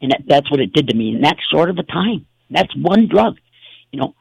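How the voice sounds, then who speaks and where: A man's voice: fairly high pitch, sing-song, brisk, a little mellow, dry recording. A man hosting a talk show, telling stories in a rambling, casual way with many fillers and restarts. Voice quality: gravelly, husky.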